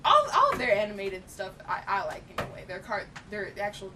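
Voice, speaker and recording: A woman's voice: wildly swooping pitch, fairly quick. A woman co-hosting a film chat, talking casually in emphatic, slangy, opinionated fan style. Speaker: co-host reviewing films. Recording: distant mic, faint noise and rumble.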